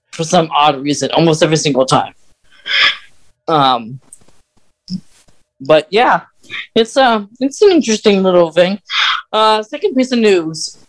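A man's voice: high voice, rough recording. A man hosting a podcast, talking in a casual fan-chat style. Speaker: podcast host.

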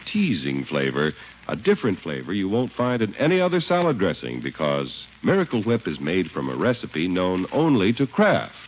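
A man announcing in 1950s radio style, reading scripted advertising and narration with emphatic salesman phrasing. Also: lowish voice. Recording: muffled 1950s radio recording, faint noise.

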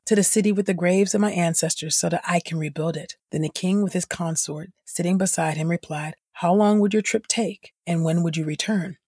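A woman's voice: fairly low pitch, darkish tone, slightly rough, no room sound. Woman reading scripture, close and dry. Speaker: podcast host and Bible reader. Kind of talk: scripted audio Bible reading.

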